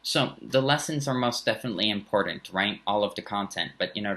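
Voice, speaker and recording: A man in his thirties, a high school teacher from the Bay Area with a slight German accent, giving an earnest recorded welcome full of fillers and restarts. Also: pushed and bright. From San Francisco Bay Area. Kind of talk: recorded back-to-school talk to parents.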